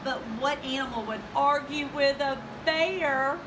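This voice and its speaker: A woman reading a children's storybook aloud in an expressive, deliberate way for young listeners. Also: high-pitched. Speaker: elementary school educator reading aloud. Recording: distant mic, clear background noise.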